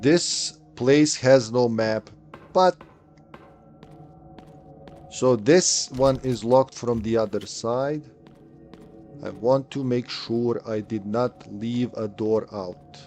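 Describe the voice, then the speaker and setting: Guy in his late 30s streaming a horror game, mid-pitch voice, talks to chat, soft music underneath. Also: slow, long pauses. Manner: chatty, thinks aloud and answers chat. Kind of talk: live gameplay commentary.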